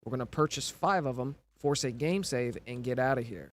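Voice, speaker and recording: A man's voice: mid-range voice, fairly quick. A man with a scratchy voice narrating a casual step-by-step game tutorial, walking through each step plainly. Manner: instructional, walks through steps plainly. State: scratchy voice from recovering illness.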